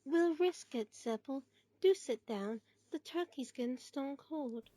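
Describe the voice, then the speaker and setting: A woman's voice: high-pitched, lively intonation, unhurried, warm tone, dry recording. A woman acting a stern older schoolteacher in an audio drama, clipped, formal and no-nonsense. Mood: dry, brisk.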